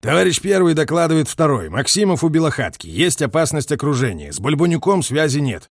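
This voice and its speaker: A man's voice: fairly high pitch, sing-song, fairly quick, dry recording, clean studio sound, pitched above the performer's own voice. A man voicing a harsh, impatient Soviet colonel in battle, barking clipped commands in a war audiobook. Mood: urgent, tense. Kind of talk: audiobook character voice, war novel.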